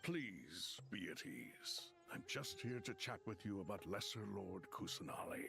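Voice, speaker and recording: An actor playing an ancient, courteous crocodile king spirit in a video game, speaking formally and deliberately. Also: lowish voice, sing-song, creaky, over music, full character voice. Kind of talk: video game voice acting, storytelling dialogue.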